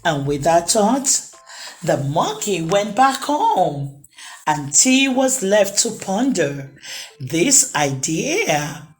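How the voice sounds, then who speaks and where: A woman reading aloud to children as a storytime host, in an expressive storybook narration style. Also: fairly low pitch, extremely slow, faint music behind.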